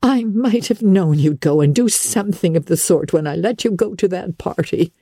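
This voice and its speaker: Woman voicing a stern older woman guardian, sharp and clipped, dark husky tone; audiobook. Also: on the low side, normal speed, no echo, clean studio sound, pitched above the performer's own voice.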